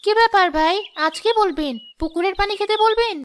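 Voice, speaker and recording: A woman's voice: extremely high pitch, fairly quick, slight background noise, voice pushed up. A woman voicing a poor village mother in a cartoon, talking in a raised, reproachful, pleading way. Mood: mocking. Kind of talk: cartoon voice acting.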